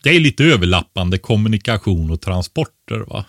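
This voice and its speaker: Low-voiced man giving casual practical advice. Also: animated, a bit bright, no room sound, studio quality. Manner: explanatory, often ending points with a questioning tag. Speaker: expert podcast co-host giving preparedness advice.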